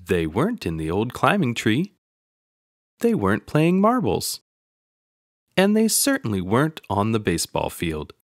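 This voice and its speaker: A man narrating a children's picture book aloud in an expressive storytelling manner. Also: on the low side, measured pace, long pauses, no echo, studio quality.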